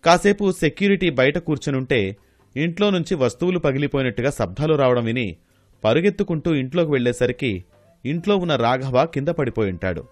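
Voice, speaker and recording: A man's voice: medium pitch, expressive, a little fast, no room sound. A man reading scripted narration for a moral story.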